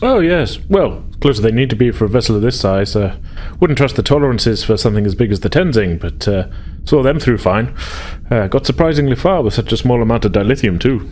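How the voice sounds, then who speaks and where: A man's voice: mid-range voice, expressive, a little fast, few pauses, a little mellow, slight background noise, close to an everyday voice. A man voicing an absent-minded, breezy starship engineer who hems, haws and muses hesitantly. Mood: musing. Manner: hesitant, musing, hemming and hawing.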